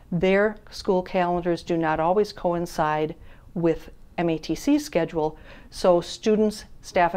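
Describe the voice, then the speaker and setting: Older woman speaking in a low, formal way. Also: smooth. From Wisconsin, USA. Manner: measured, even, formal explaining. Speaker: child care services director. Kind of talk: on-camera interview statement. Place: classroom of a child care center, indoors.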